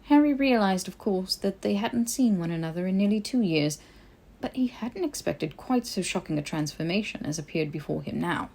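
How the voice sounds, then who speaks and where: Low-pitched woman narrating fiction. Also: warm tone. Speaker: podfic narrator. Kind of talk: expressive scripted fiction narration.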